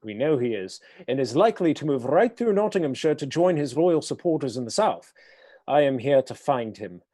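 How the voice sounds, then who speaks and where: A dark, scheming villainous earl. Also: male voice, medium pitch, very animated, normal speed. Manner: smooth, menacing, condescending. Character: scheming noble male earl, cold and commanding. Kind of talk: radio play acting.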